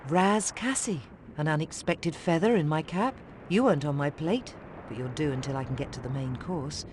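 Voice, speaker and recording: A man's voice: fairly high pitch, normal speed, close to an everyday voice. A man voicing a cocky, wisecracking armed captor in a video game, sardonic and commanding. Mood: smug, commanding. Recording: very noisy game audio, heavy ambience.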